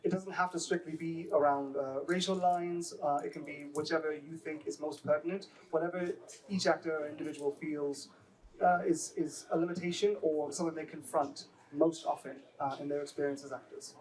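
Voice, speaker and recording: A man's voice: on the high side, steady tone, a little fast, a little mellow. Hesitant man moderating from afar. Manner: rambling, hesitant, many fillers. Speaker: panel moderator. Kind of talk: moderating a panel discussion. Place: panel stage at a festival. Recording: distant mic.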